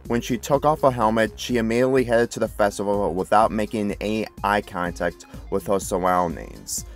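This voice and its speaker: A man reading a fan fiction story aloud as scripted narration. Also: on the low side. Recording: music playing behind the voice.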